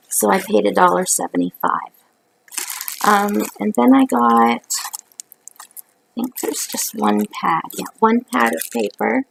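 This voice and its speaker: A middle-aged woman from Canada, hoarse from a cold, talking into a webcam from her living room couch at night. Her voice is deeply gravelly and full of fry. She rambles in a chatty way, and a faint hiss sits under the recording. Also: low-quality recording. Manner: rambling, chatty, many ums. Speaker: craft vlogger. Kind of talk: casual haul video. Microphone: webcam at close range.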